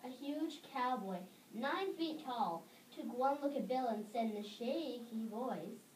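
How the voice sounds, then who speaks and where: A woman's voice: on the high side, animated, measured pace, darkish tone. A woman reading a scripted story aloud as one of a readers theater group. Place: echoey room. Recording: echoey room, distant mic, faint noise.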